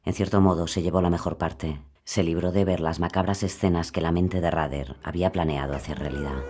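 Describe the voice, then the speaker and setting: A man giving grave, measured scripted narration of a true-crime story. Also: sits low, fairly even intonation, darkish tone.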